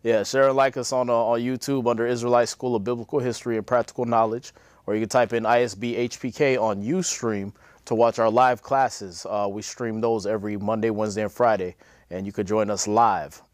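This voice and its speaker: A man, co-hosting a religious cable show, talking haltingly with many fillers. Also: on the low side, dry recording, clean studio sound. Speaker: co-host. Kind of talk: show announcements and agreeing.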